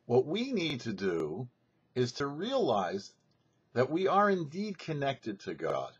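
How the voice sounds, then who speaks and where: A man speaking as a rabbi and show host, giving a scripted religious lesson in an emphatic, didactic way with deliberate phrasing and rhetorical questions. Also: medium pitch, wildly swooping pitch, husky, lo-fi sound. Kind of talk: scripted religious teaching, sermon.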